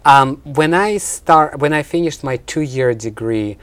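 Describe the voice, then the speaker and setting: A man's voice: on the high side, slow, fairly bright tone, clear, resonant tone, no room sound. A man from Russia with a Russian accent, answering interview questions in an explanatory way, as a college instructor. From Russia and Ukraine.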